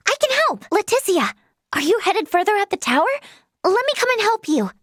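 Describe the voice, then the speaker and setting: A woman's voice: high voice, animated, normal speed, no echo, studio quality. A woman playing a sweet, timid, earnest teenage girl healer in a video game, with a light, girlish voice and a polite, hesitant way of talking. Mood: flustered, eager. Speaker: voice actress. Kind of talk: video game character voice lines.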